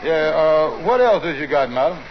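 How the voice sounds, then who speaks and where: Brief: a scheming middle-aged husband, raised voice, hemming and hawing, dull old radio broadcast. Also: male voice, fairly high pitch, lively intonation, normal speed, slight background noise. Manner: wheedling, hesitant with many uhs. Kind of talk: 1940s radio comedy acting.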